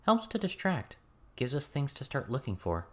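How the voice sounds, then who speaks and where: A man's voice: mid-range voice, wildly swooping pitch, moderate pace, fairly bright tone, pitched above the performer's own voice. A man voicing a guarded, thoughtful teenage girl in an audiobook, dry and matter-of-fact.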